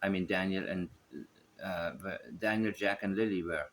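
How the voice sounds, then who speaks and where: A man's voice: deep voice, fairly even intonation, moderate pace, a bit bright. A man giving unscripted online commentary as he works through a map, thinking aloud and repeating himself as he goes. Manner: musing, thinking aloud, repetitive. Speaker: online host.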